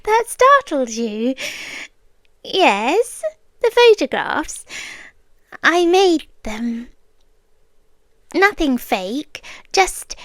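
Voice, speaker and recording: A British woman playing an obsessive, possessive young girlfriend in an intimate ASMR roleplay, soft and deliberate, sweetly menacing, slipping into whispers. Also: high-pitched, dry recording, exaggerated voice. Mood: wounded, adoring. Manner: slow, deliberate, coaxing, with pauses and whispered words. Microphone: close, dry microphone.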